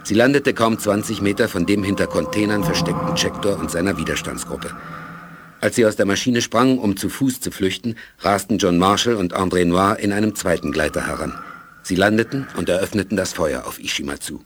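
A man's voice: low voice, brisk, a little hiss or hum, close to an everyday voice. A man narrating a science fiction radio play in a scripted storytelling style. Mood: measured. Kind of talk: scripted audio drama narration.

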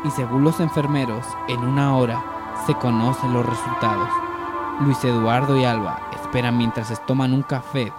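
A man's voice: medium pitch, fairly even intonation, moderate pace, over loud music. A man reading scripted narration for a radio soap opera.

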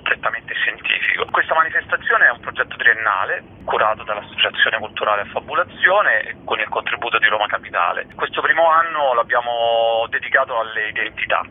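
Medium-pitched man explaining over the phone. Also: lively intonation, brisk, hardly any pauses, breathy edge. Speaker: festival curator being interviewed. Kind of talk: explanatory radio interview. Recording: thin telephone-line sound.

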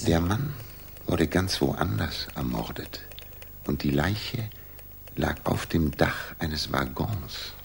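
A brilliant London detective in an old radio play, with a low, gravelly voice. He is commanding and deliberate, as if reasoning aloud. The recording has a light hiss. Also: heavily acted. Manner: musing, deliberate, authoritative. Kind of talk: 1960s German radio drama acting. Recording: hissy 1960s radio drama recording.

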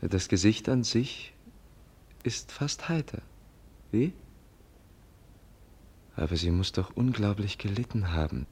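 A gentle young prince in vintage German radio drama. His voice is fairly low and husky. He speaks with naive sincerity, very courteous and somewhat hesitant. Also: animated, slow, long pauses, heavily acted. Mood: entranced, hushed. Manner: polite, earnest, hesitant.

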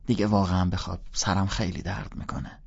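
A man voicing a fussy, worried middle-aged mother who gently scolds and questions, in a dull lo-fi recording. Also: low voice, flat delivery, gravelly, breathy edge, heavily acted. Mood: fussing.

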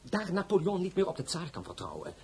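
An actor playing a weary, authoritative crown prince in a radio drama, speaking in a grave, formal and declamatory way. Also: male voice, high-pitched, wildly swooping pitch, fairly quick, heavily acted. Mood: grave, urgent. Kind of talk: dramatized novel, radio play acting. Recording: clearly noisy recording.

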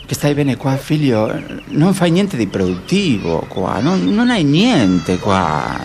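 A woman's voice: extremely low pitch, wildly swooping pitch, slow, darkish tone, slight background noise, heavily acted. Nagging, lamenting mother. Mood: scolding, exasperated. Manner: scolding, pleading, dramatic. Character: lamenting, nagging Argentine mother of a grown son. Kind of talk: radio play dialogue.

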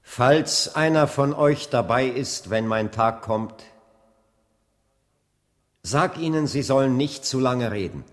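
A man's voice: medium pitch, expressive. An actor playing an earnest civil rights preacher in his late thirties in a German radio drama. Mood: solemn. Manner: measured, deliberate oratory when preaching. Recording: long hall reverb.

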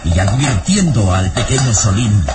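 A man's voice: lowish voice, animated, normal speed, heavy background noise. A man narrating a vintage radio serial in a dramatic, scripted style. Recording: old radio recording with music underneath.

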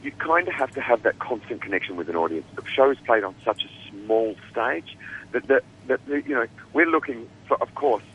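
A man's voice: mid-range voice, lively intonation, fairly quick, muffled recording, a little hiss or hum. A man with an Australian accent, a guest producer talking casually in an interview, rambling with many restarts and fillers.

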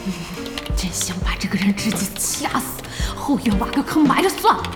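A woman playing a bossy, outspoken internet-cafe owner and passionate gaming fan in an audio drama, with a brisk manner. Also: medium pitch, big pitch swings, over loud music, lightly acted. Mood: exasperated, demanding. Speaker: voice actor in a radio drama. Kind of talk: Chinese audio drama acting.

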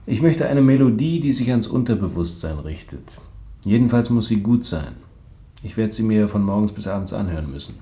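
Curt business magnate in old radio drama, low dry mellow voice, bit muffled. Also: male voice, expressive, fairly quick. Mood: dry, teasing. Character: male business magnate, curt, suave, teasing and calculating. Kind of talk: 1970s German radio drama acting. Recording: dull, band-limited 1970s radio recording.

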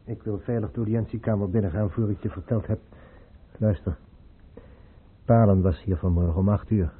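An actor playing a cautious, discreet court chamberlain in a Dutch radio play, formal and measured in his speech. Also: on the low side, steady tone, slight background noise, natural voice. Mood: guarded, confiding. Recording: muffled old radio play recording.

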